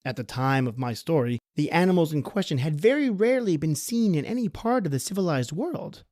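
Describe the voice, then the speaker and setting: A man giving a scripted gothic horror narration in a measured, formal storytelling manner. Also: a little high, a little mellow, no room sound, clean studio sound.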